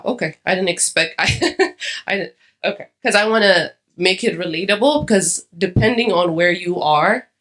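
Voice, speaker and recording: A woman's voice: lowish voice, a bit bright. A young adult woman from Ethiopia giving a conversational conference talk, with many fillers and restarts. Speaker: conference speaker. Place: conference hall.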